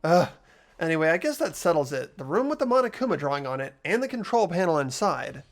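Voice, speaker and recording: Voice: mid-range voice, sing-song, fast talker. A playthrough host reading a video game story aloud in character, with casual asides.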